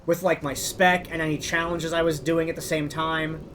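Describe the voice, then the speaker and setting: A man with a higher-than-usual voice tells a long, rambling story while he plays. He strings his thoughts together with constant "like" fillers. A faint hiss sits under his talk. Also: flat delivery, moderate pace. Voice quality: describes his own voice as higher than a deep bass beat. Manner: rambling, heavy use of "like" as filler. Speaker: gamer narrating his own playthrough. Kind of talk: casual storytelling commentary while playing.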